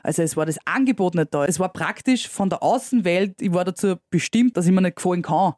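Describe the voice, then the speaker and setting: A woman's voice: fairly low pitch, fast, a little mellow, dry recording, studio quality. A young woman with an Austrian accent, a musician guest speaking candidly and thoughtfully in casual, colloquial conversation.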